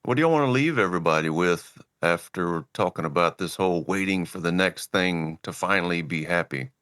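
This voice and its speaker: A man hosting a podcast, relaxed and easygoing as he steers the conversation. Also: low-pitched, brisk, no room sound, clean studio sound. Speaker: podcast host. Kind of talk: casual conversation and show outro.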